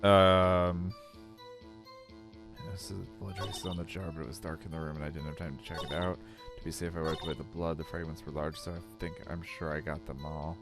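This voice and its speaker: A man's voice: sits low, little pitch movement, moderate pace, over music. A man reading video game dialogue aloud as a channel host.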